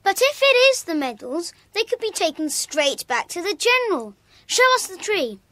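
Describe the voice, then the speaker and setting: Casting: earnest British schoolboy in children's audio play, high, lively voice, quick to indignation. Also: female voice, no echo, heavily acted. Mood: firm, defiant. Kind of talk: children's audio dramatisation acting.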